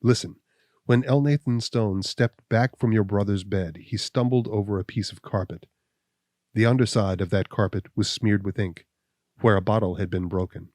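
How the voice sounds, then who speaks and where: A man voicing a stern, implacable accuser who speaks in a deep, level voice, slow and measured. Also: fairly even intonation, a little mellow, no room sound, studio quality, close to an everyday voice.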